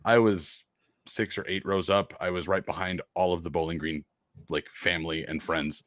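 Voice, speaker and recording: Man, podcast co-host, powerful carrying voice, low pitch, dry sound. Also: fairly even intonation, moderate pace, fairly bright tone, dry recording. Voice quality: powerful, projecting voice. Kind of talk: casual sports podcast chat.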